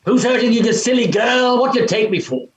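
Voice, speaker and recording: An actor playing a brusque, overbearing gentleman in a staged play reading, blunt and scolding, with echoey, muffled sound. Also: medium pitch, brisk, natural voice. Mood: impatient, brusque. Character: brusque, overbearing gentleman note-taker, impatient.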